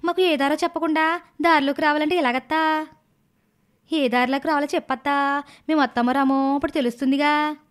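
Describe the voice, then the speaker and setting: A girlish, high-pitched young niece , voiced by a woman, from a cartoon moral story. She is silly and stubborn, arguing and whining in a petulant way. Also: fairly even intonation, brisk, pauses often, natural voice. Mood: cheeky.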